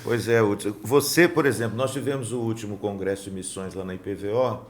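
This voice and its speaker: A man's voice: lowish voice, fairly quick, a crowd in the background. A Brazilian man, a pastor, hosting a casual interview and asking questions. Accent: Brazilian Portuguese. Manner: brief supportive interjections, asks questions. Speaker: pastor, host.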